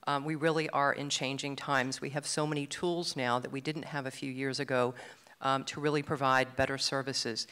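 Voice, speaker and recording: A man moderating a conference panel, measured, with frequent ums. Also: mid-range voice, flat delivery, sharp tone, clear, resonant tone.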